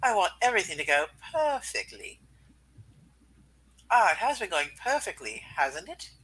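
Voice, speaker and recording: A man's voice: high-pitched, wildly swooping pitch, normal speed, bright, forceful tone, slightly rough, heavily acted, rough recording. A man playing a polite, reassuring woman who runs an embassy, in an amateur comic book reading. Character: reassuring, polite female embassy chief, eager to help.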